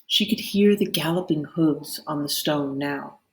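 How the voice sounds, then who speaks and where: A woman reading a children's novel aloud in expressive, dramatic storybook narration. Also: sits low, measured pace, a little hiss or hum. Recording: roomy, echoing indoor sound.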